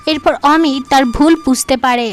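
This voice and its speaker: A woman with a high-pitched voice narrates a cartoon fairy tale. The delivery is scripted storytelling, set over clear background music. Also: fairly even intonation, moderate pace.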